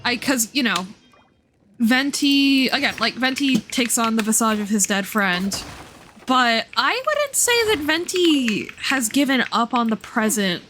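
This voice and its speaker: A woman's voice: fairly high pitch, sing-song, unhurried, fairly bright tone, a little hiss or hum. A woman giving casual live game commentary as a streamer, musing aloud in a slangy, irreverent way.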